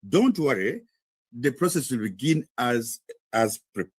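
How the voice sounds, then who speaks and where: A man's voice: medium pitch, big pitch swings, measured pace, slightly rough, dry recording. A man from Uganda reporting live as a correspondent in a news interview, explaining at length with frequent fillers.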